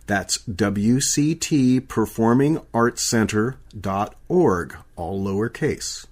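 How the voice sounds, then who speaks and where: A man's voice: mid-range voice, a little mellow. Male radio announcer reading credits. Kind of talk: scripted credits narration.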